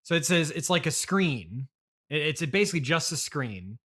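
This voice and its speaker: A man in an improvised comedy chat, playing himself as interviewer, halting, hesitant and awkwardly polite, often repeating himself. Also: a little high, big pitch swings, bright, forceful tone, slightly rough.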